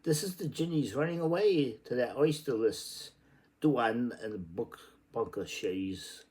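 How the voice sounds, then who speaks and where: A man's voice: medium pitch, expressive, a little slow, a little mellow. A man reading literary prose aloud in a theatrical, playful and exclamatory way. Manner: playful, exclamatory delivery with sudden outbursts. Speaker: reader.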